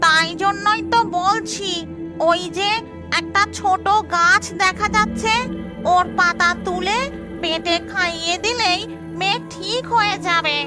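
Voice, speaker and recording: A woman voicing a mysterious, helpful ghost of a village wife in a horror cartoon, coaxing and drawn-out. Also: very high voice, steady tone. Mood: eerie, coaxing. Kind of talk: Bengali horror cartoon voice acting. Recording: loud music over the voice. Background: loud music.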